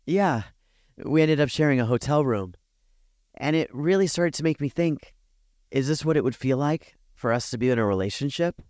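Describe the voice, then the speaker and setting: A man playing a tender, nervous best friend confessing a crush, speaking softly, hesitantly and earnestly in an intimate ASMR roleplay. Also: mid-range voice, no room sound, studio quality, close to an everyday voice. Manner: soft-spoken, hesitant, earnest.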